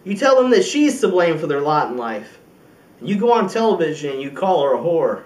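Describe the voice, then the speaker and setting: A man acting an indignant, resolute president giving an emphatic political speech in an amateur film monologue, with deliberate pauses. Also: fairly high pitch, big pitch swings. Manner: emphatic oratory with deliberate pauses and rhetorical questions. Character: indignant, resolute male American president addressing the press. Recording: roomy echo, distant microphone.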